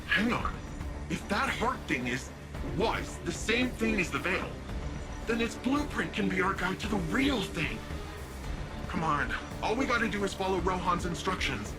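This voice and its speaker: A man's voice: high voice, very animated, normal speed. A man voicing a brash, eager young hero of a futuristic city in a video game, with a breezy, informal delivery. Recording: music playing behind.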